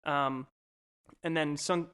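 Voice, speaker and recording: A man's voice: fairly high pitch, fairly even intonation, slow, pushed and bright, smooth. A man in his twenties, co-hosting a podcast, talking about himself in a rambling, easygoing way with lots of fillers. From Colorado, USA. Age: 20s. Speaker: podcast co-host. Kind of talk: casual chat.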